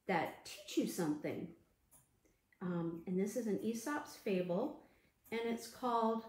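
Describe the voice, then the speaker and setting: Animated, high-voiced woman hosting children's storytime. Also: reverberant, low-quality recording. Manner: animated, sing-song, addressing small children. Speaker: children's librarian and storytime host. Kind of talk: interactive storytime for young children.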